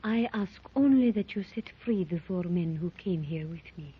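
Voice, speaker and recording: A woman's voice: fairly low pitch, expressive, normal speed, breathy edge. An actress playing a composed, diplomatic captive woman, earnest and theatrical in a 1930s radio serial style. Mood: guarded. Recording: muffled old 1930s radio recording.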